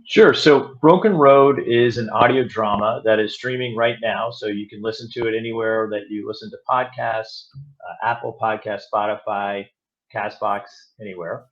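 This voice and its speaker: Male podcast guest, lowish pitch, halting conversational answers, slightly muffled audio. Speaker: guest, audio drama producer. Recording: slightly dull, band-limited sound.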